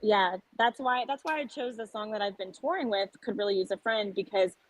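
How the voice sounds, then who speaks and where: A woman's voice: mid-range voice, low-quality recording. A young woman singer-songwriter from South Texas, talking earnestly in a casual interview and often restarting her sentences. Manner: earnest, quick talker with frequent restarts.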